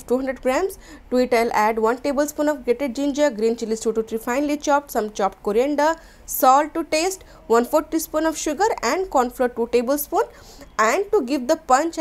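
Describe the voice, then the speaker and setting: A woman, hosting a cooking channel and explaining a recipe step by step in a gentle, instructional voice-over. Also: a little high. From India.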